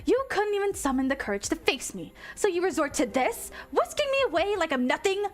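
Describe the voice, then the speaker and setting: A woman voicing a frightened, indignant captive while reading a visual novel aloud, shrill, defiant and accusing. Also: very animated, brisk, faint music behind. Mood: furious, accusing.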